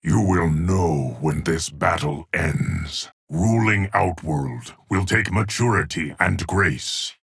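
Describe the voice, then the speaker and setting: Game casting: an ageless, solemn keeper of time, low rumbling gravel, dry close sound, measured formal pronouncements. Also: male voice, fairly bright tone, dry recording, heavily acted. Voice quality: deep, gravelly, husky. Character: solemn ageless immortal male guardian of time. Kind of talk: video game character voice lines.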